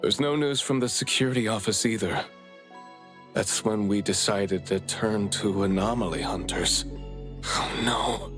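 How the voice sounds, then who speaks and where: A man's voice: on the low side, a little slow, a bit bright, soft background music. An actor playing an earnest, polite middle-aged father in a video game, anxious and apologetic about his missing son.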